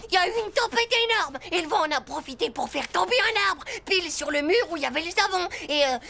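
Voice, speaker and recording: Une femme qui joue un écolier de dessin animé effronté et excité, à la voix râpeuse, au parler argotique, geignard et vantard. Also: female voice, very high voice, fast, heavily acted. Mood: sheepish, scheming. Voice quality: gravelly. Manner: slangy, whiny, boastful. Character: cheeky, excitable, scheming little schoolboy. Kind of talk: French animated cartoon acting.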